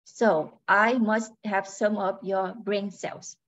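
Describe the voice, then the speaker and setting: Slow, polite robot wife, played by a woman. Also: mid-range voice, steady tone, fairly bright tone, highs cut off. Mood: matter-of-fact, insistent. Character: polite robot wife draining brain cells to become human. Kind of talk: radio play acting.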